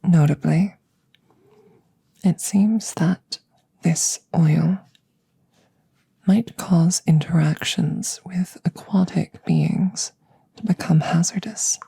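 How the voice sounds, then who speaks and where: Woman as a slow, whispery alien scientist. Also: lowish voice, dark tone, no room sound, exaggerated voice. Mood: clinical, soothing. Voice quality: breathy, whispery, husky. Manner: slow, formal, precise, detached phrasing. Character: curious, clinical alien scientist tending a rescued human. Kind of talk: whispered ASMR audio roleplay. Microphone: close microphone, ASMR style.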